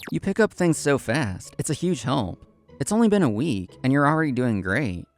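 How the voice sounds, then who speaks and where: Earnest young male café manager narrating a manga story, played by a man; lively intonation, gravelly edge. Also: mid-range voice, moderate pace, soft background music. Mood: polite, firm. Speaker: narrator and lead actor of a manga story video. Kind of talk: scripted first-person narration.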